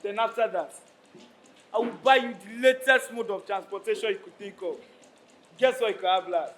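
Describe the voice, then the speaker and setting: A man's voice: sits high, normal speed, a bit bright. A man with a Nigerian accent acting a proud, troubled Yoruba king in a declamatory stage style. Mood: proud, generous. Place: stage of a live play. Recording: noisy live stage recording.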